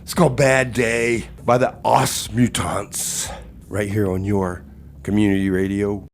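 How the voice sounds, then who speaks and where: A man's voice: on the low side, little pitch movement, unhurried, creaky. A man hosting a radio comedy and music show, chatting to listeners in a folksy, exclamatory, exasperated way. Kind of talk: live comedy radio banter and music announcing.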